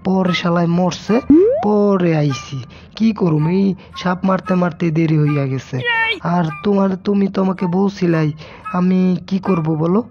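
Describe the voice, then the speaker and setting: A man voicing a talkative, excitable fox husband in a cartoon, with a colloquial eastern Bangladeshi accent and folksy exclamations. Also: high voice, moderate pace, dull audio. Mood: defensive, boastful. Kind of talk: animated cartoon dialogue. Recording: music behind the voice.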